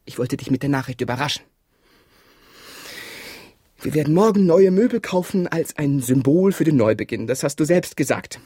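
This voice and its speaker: A man's voice: fairly high pitch, animated, moderate pace, long pauses, mellow, breathy edge, no echo, clean studio sound. An actor playing an exasperated, sentimental man in a radio drama, speaking in an emphatic, insistent way. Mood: pleading. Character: exasperated, sentimental partner clinging to household belongings. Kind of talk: radio drama dialogue acting.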